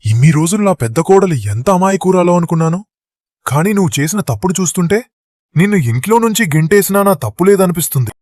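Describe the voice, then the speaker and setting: A man's voice: high voice, expressive, fast, darkish tone, no room sound, pitched above the performer's own voice. A man voicing an angry older father-in-law in a cartoon family story.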